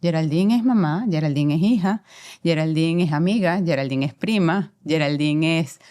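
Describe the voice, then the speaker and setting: Venezuelan lady talking about her life, lowish voice, slow and thoughtful, lots of eh and um. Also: warm tone, clean tone. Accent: Venezuelan Spanish. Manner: reflective, expansive, many fillers and repeats. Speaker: guest, commercial director. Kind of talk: conversational interview.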